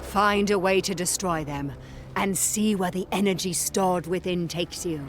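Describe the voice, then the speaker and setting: A woman's voice: fairly low pitch, unhurried, a little hiss or hum, lightly acted. A woman playing an earnest, cautious ally who gives solemn guidance in a game cutscene. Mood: grave, helpful. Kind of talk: video game cutscene acting.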